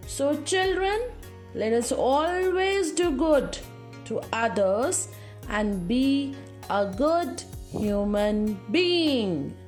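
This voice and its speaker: A woman teacher telling a moral story to young children, speaking deliberately and addressing her young listeners directly. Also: fairly high pitch.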